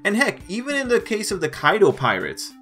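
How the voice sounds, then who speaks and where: A man's voice: high voice, wildly swooping pitch, a little fast, bright, forceful tone, faint music behind. A man giving a casual manga review as a voice-over, emphatic and repeating phrases to drive points home. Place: voice-over over still images.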